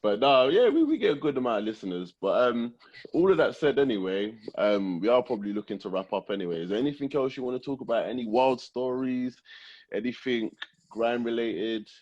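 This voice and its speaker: A man with a British accent hosting a podcast, chatting casually with a relaxed, agreeable manner and quick affirmations. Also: mid-range voice, lo-fi sound. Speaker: podcast host. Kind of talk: casual interview chat.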